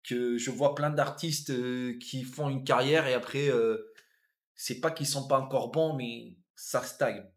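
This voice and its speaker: A man's voice: medium pitch, fairly even intonation, unhurried. Reflective Tunisian man telling his story. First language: Arabic. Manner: reflective, repeats and restarts words, many fillers. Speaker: guest, comedian and actor. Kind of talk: casual interview storytelling.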